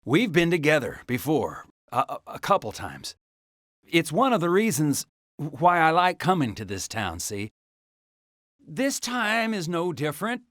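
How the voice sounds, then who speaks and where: A man's voice: fairly high pitch, huge pitch swings, unhurried, fairly bright tone, no echo, clean studio sound, exaggerated voice. A man playing an evasive, defensive suspect in a video game, hedging and stammering. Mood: defensive, grudging. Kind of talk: video game cutscene acting.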